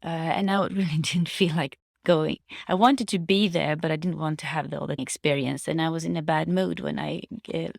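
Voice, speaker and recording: Low-pitched, creaky-voiced woman reflecting casually. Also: no room sound, studio quality. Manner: halting, searching for words, restating phrases. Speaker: vlogger sharing personal reflections. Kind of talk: casual reflective monologue to viewers.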